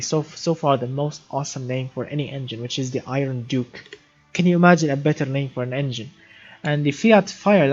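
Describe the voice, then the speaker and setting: A man's voice: medium pitch, breathy edge, highs cut off. A young man from Syria hosting a casual live stream, chatty, reading viewer comments aloud and often repeating words as he restarts sentences. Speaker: livestream gaming host.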